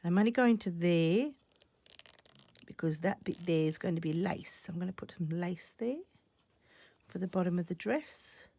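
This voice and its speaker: Woman host, croaky after a cough, low and gravelly, chatty crafting talk that slips into half-whispers, close dry mic. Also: normal speed, no echo. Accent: Australian. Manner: chatty, thinking aloud, drops to soft half-whispers while stitching. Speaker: stitching channel host. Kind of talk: casual crafting vlog chat.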